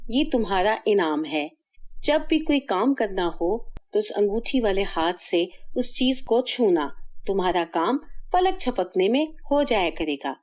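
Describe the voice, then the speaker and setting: A woman's voice: mid-range voice, a little mellow. Woman playing a lilting, gracious magical fairy. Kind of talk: acted children's fairy-tale dialogue.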